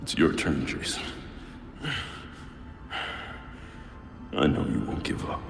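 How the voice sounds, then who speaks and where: A man playing a grave, battered older crime-fighter mentor in a video game, terse, halting and stern in a gravelly voice. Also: over music, close to an everyday voice. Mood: resigned, gentle. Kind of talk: dramatic video game cutscene acting. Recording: echoey hall reverb.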